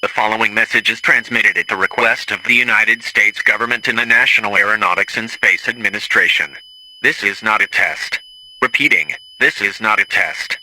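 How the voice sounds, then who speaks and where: A man's voice: on the low side, bright, forceful tone. A synthetic male announcer reading a formal emergency alert in a noisy radio-style broadcast.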